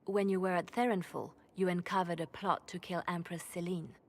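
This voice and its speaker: An actress playing a solemn, secretive female spymaster with a French accent, speaking gravely and deliberately in a fantasy video game. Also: lowish voice, fairly even intonation. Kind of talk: video game dramatic dialogue.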